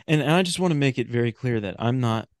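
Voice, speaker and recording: A man talking casually as a podcast guest, frank and informal, with halting phrasing. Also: mid-range voice, dry recording, studio quality.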